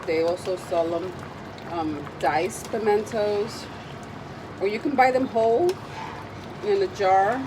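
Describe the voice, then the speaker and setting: A woman with a raspy voice from a lingering cold, chatting casually as she demonstrates a recipe in her home kitchen. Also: medium pitch, noisy background. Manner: chatty, meandering, with frequent ums. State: recovering from a cold. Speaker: cooking video host.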